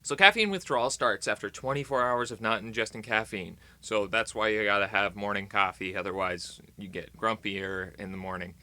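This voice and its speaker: A man's voice: on the low side, moderate pace, few pauses, sharp tone. An American man vlogging in casual, rambling chat to camera, playful and tongue-in-cheek with dramatic emphasis.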